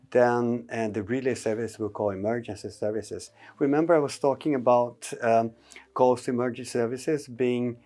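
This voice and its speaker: A man's voice: medium pitch, warm tone. A man giving an informational presentation on emergency call rules, explaining step by step with frequent hesitations.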